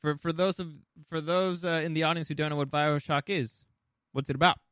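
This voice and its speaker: A man's voice: a little high, steady tone, brisk, fairly bright tone, clean tone, dry recording, clean studio sound. A man co-hosting a podcast, chatting casually and enthusiastically with some swearing. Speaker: podcast co-host. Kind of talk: casual conversational chat.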